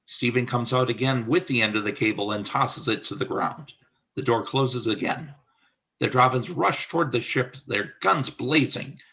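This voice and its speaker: A man's voice: mid-range voice, normal speed, creaky, pushed and bright. A man reading scripted stage-direction narration for a radio play.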